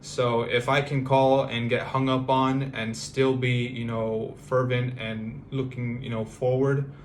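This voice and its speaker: A man in his thirties from the United States, talking through a personal vlog in a halting, reflective way with many fillers and restarts. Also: mid-range voice, flat delivery, a little hiss or hum. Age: 30s. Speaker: vlogger.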